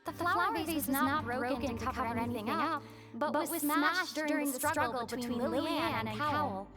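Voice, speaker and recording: A woman plays a young female detective with a high, composed voice. She lays out deductions deliberately and confidently, as in an anime game. Strong music sits behind her. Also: close to an everyday voice. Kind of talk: anime-style video game voice acting.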